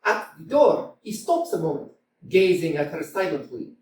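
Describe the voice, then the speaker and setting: A reader calls out the stage directions between the actors' lines, plainly, now and then breaking into laughter. The voice moves with lively pitch. It is heard from a distance in a reverberant hall. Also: moderate pace, a little hiss or hum. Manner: plain reading, sometimes laughing. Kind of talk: reading stage directions aloud. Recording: echoey hall, mic from far off.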